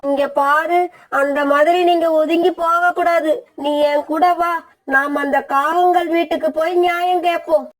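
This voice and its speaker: A very high-pitched, indignant old female bird played by a woman in a cartoon moral story. She complains and pleads for justice, aggrieved at every turn. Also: fairly even intonation, unhurried. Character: aggrieved elderly female bird, tearful and scolding. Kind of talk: animated moral story dialogue.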